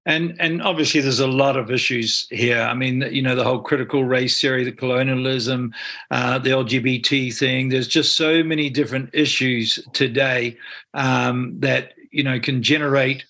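A man's voice: mid-range voice, steady tone, normal speed, sharp tone. A man in his seventies with a New Zealand accent, answering interview questions earnestly, rambling with many restarts.

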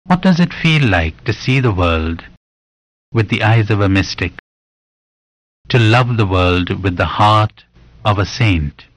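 A man narrating a scripted spiritual meditation in a measured, deliberate, instructive way, pausing between phrases. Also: on the low side, lively intonation, dry recording, low-quality recording.

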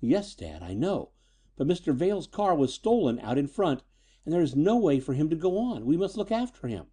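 Man voicing a high-strung young woman, raised pitch. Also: big pitch swings, moderate pace, dark tone, breathy edge. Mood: shaken. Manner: nervous, emotional, pleading. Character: frightened, high-strung, defiant young woman, scientist's daughter.

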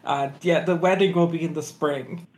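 A man's voice: a little high, steady tone, moderate pace, a little mellow. A man making a prank phone call, chatty and casual. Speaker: prank caller.

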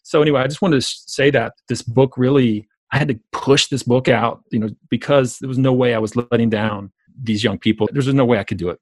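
Southern US male coach and speaker, very quick, emphatic interview delivery, close dry sound. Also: mid-range voice, fairly even intonation, no echo. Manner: emphatic, repeats phrases for stress. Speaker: business coach, speaker and author being interviewed. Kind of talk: conversational interview, storytelling.

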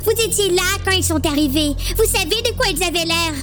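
A woman's voice: very high voice, a little fast, fairly bright tone, soft background music. A woman playing an exasperated, impatient teenage girl in an amateur dramatised horror story. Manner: impatient, insistent.

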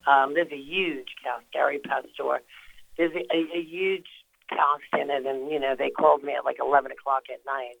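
A woman's voice: extremely low pitch, fairly even intonation, moderate pace, vocal fry, dry recording, narrow, tinny audio. An older woman, an actress, talking in a phone-in radio interview, chatty and rambling with lots of fillers and restarts. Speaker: actress guest. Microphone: phone call.

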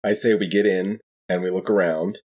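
A man's voice: deep voice, steady tone, normal speed, a little mellow, husky. A man, chatting casually as a player in a tabletop role-playing game.

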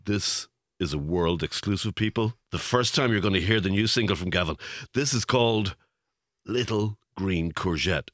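Man acting as radio presenter, lowish animated voice, polite, encouraging, dry close studio sound, comedy radio play. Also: moderate pace, no room sound. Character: earnest, flattering male local radio host. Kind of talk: scripted comic radio interview.

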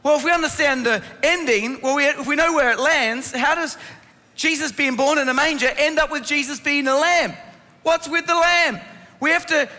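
A man's voice: very high voice, normal speed, sharp tone. A man preaching a sermon to a congregation in an instructive way, asking rhetorical questions, his voice strained by laryngitis. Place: church hall. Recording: reverberant hall sound.

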